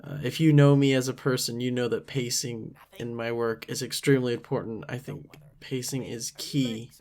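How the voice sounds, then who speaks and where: A man giving rambling, casual, self-critical commentary as the creator of an animated parody series. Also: medium pitch, moderate pace. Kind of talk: casual unscripted director's commentary. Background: episode audio with cartoon voices playing under the talk.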